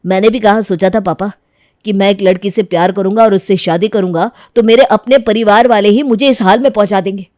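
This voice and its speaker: A young husband from a cartoon family drama, played by a woman. He speaks at a middling female pitch in a close, dry sound, firm and full of reproach. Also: fairly even intonation, a little fast, darkish tone, dry recording, close to an everyday voice. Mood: hurt. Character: stern, disillusioned young husband and protective son. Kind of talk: Hindi animated moral-story dramatization.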